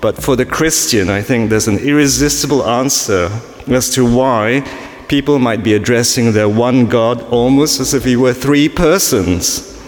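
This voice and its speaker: A man from Singapore preaches to a family congregation in a loose, chatty way, tossing in self-mocking jokes and plenty of ums. His voice sits at an ordinary middle pitch and rings around a big church, with a long reverb tail after each phrase. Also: measured pace. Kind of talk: sermon to a family church service. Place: large church.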